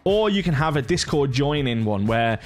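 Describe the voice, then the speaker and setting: A man's voice: mid-range voice, big pitch swings, normal speed, slight background noise. A middle-aged man talking informally through a casual tutorial, in the manner of a gaming content creator. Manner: chatty, informal.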